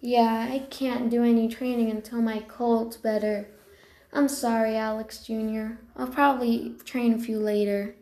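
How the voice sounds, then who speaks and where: A woman voicing an excitable young female cat kit in amateur animated character lines, eager and expressive. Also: on the high side, measured pace, echoey room. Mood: apologetic, subdued, then excited.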